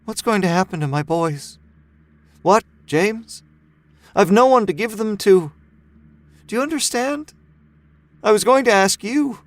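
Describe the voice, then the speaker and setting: A man's voice: high voice, unhurried, long pauses, no echo, voice pushed up. A man voicing a distraught, weary mother in a story, tearful and pleading. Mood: desperate. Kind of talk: acted dialogue in audiobook narration. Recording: music bed under the voice.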